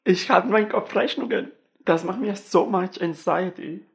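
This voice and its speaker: A man's voice: sits high, normal speed, vocal fry. A young man in casual group chat, mixing some English slang into his German.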